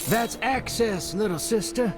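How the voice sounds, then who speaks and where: A man's voice: high voice, animated, measured pace, over music. A man playing a cocky, easygoing roguish adventurer in a video game, with a folksy, swaggering drawl. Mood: easygoing, confiding. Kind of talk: video game character acting.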